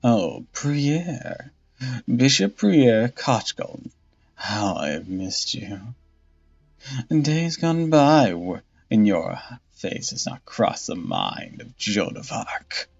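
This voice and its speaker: A man voicing a vengeful undead female saint who taunts her killer slowly and coldly. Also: medium pitch, very animated, creaky, full character voice. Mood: sweet mockery. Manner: slow, cold, mocking, theatrical. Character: vengeful undead woman saint, cold and mocking. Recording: dull lo-fi audio with faint music.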